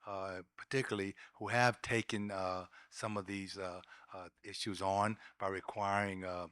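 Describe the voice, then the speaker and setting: Low, clear-voiced American man, deliberate panelist. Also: flat delivery, a bit bright, no room sound, clean studio sound. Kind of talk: prepared points in a panel discussion.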